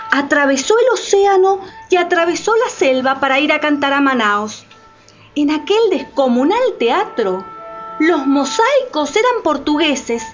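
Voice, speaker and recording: High, animated woman narrator. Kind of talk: dramatic scripted radio narration. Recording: slightly muffled.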